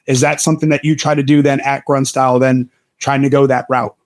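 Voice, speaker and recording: A man co-hosting a podcast, asking questions haltingly as he searches for words. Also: mid-range voice, level tone. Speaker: podcast co-host, veteran. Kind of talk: casual interview talk.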